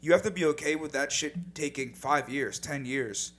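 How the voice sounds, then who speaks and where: A man's voice: fairly high pitch, normal speed, fairly bright tone. A young man co-hosting a casual podcast, talking in a laid-back, reflective way with some casual swearing. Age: young adult. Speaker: podcast co-host. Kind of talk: casual chat.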